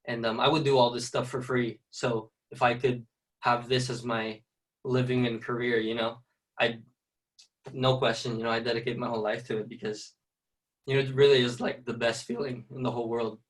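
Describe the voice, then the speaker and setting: A man's voice: medium pitch, level tone, moderate pace, distant mic, rough recording. A young man from the Philippines, a ballet dancer, talking casually in an interview with lots of fillers. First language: Tagalog. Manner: soft-spoken, many fillers and "you know".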